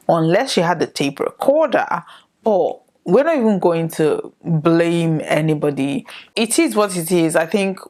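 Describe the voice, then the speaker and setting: A woman's voice: on the low side, darkish tone. A woman from northern Nigeria with a Nigerian accent, hosting a solo podcast and giving her views in a reflective way, full of rhetorical questions. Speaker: podcast host. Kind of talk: solo opinion commentary to camera.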